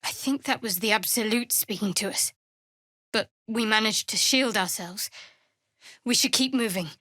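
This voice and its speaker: An actress playing a guarded, wry young female cleric in a fantasy video game, speaking in a cool, dry, measured way. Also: medium pitch, sharp tone, no room sound. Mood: cool, pragmatic. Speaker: video game companion character. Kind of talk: scripted fantasy game voice acting.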